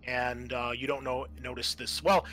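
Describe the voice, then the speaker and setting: A man narrating a tabletop roleplaying game as game master, explaining things in a halting, improvised way. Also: medium pitch, level tone, a bit bright.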